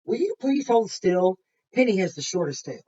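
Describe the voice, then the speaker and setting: A woman reading aloud as an eager schoolgirl who chatters to her dogs in a storybook, with an expressive delivery. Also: medium pitch, normal speed, muffled recording, slight background noise, lightly acted. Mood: eager, bossy. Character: eager schoolgirl measuring her dog for homework.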